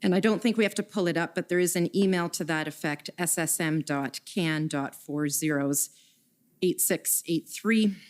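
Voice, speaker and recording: A woman questioning a witness at a formal hearing, reading out document numbers and quoted messages in a measured way. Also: on the low side. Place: hearing room.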